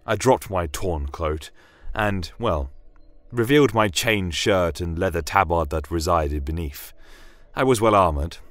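Low-pitched male fantasy storyteller, close and dry. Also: a little slow, a bit bright, no echo, soft background music. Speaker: storyteller narrating in first person. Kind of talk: scripted dramatic fantasy narration.

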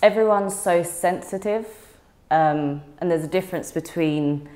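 Low-voiced young woman, frank and relaxed. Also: measured pace. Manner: frank, relaxed, laughs easily, many ums. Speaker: interviewee. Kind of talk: casual interview chat.

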